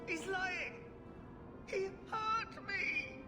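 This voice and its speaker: Frightened crying captive, pleading, very high voice, video game drama, long reverb, loud music. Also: female voice, very slow, exaggerated voice. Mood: terrified. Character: terrified captive young woman pleading for help.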